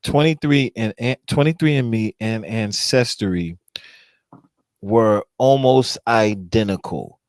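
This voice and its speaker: A man hosting a talk show, casually chatting and playfully teasing his co-host, jumping in with quick remarks. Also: fairly low pitch, no room sound, clean studio sound. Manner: playful, teasing, jumps in excitedly.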